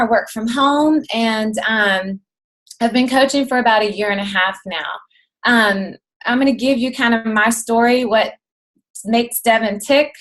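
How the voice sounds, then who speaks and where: A woman in her late thirties, losing her voice, speaking as a chatty, excitable guest on a team video call. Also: a little high. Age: 30s. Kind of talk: casual talk on a video call.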